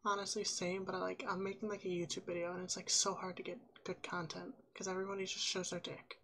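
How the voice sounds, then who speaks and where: A man's voice: sits high, monotone, fast, sharp tone, full character voice. Man faking a gushing ditzy young woman, voice-changed. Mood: disgusted venting. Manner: valley-girl filler "like", gushing. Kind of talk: casual prank video chat. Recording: noisy webcam chat audio, clicking.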